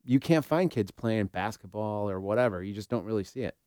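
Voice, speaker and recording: A man's voice: lowish voice, animated, fairly quick, warm tone, clean tone, no echo, studio quality. A man co-hosting a talk show, giving his opinions in an informal, conversational way. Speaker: podcast co-host. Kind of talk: casual discussion.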